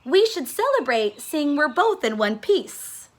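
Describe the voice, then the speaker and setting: A woman's voice: high-pitched, very animated, a little slow. A woman voicing a greedy male squirrel in a storybook, exclaiming in an emphatic, dramatic way. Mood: giddy, contrite.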